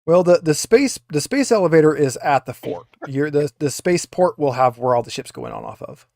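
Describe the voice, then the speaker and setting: Man, game master, casual tabletop narration, fairly high voice, dry sound. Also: animated, moderate pace, vocal fry, a little mellow, no room sound.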